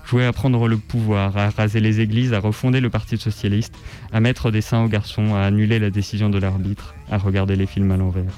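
A man reading a written text aloud on the radio in a measured, articulate, declamatory way. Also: fairly low pitch, dark tone. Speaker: radio reader. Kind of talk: scripted literary reading aloud.

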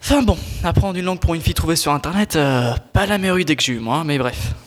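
A man's voice: fairly high pitch, fast, lightly acted. A young man in his teens playing an exasperated, sardonic squire in a student audio drama, grumbling in a slangy way. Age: teenager.

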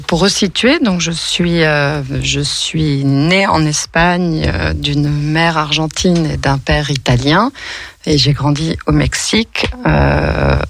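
A woman's voice: low-pitched, creaky, no room sound. A middle-aged woman poet, a radio guest, telling her own story thoughtfully with frequent fillers. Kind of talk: casual radio conversation.

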